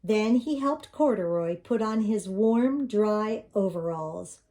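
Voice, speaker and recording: Mellow, slow-reading woman storyteller. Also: medium pitch, expressive. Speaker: storybook reader. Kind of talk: children's storybook narration.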